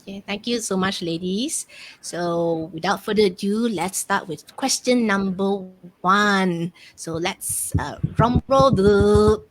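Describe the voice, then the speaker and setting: A woman with a Malaysian accent casually hosting a live online game, chatty and halting as she goes. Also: medium pitch, poor audio. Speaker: host of a live question game, online mentor.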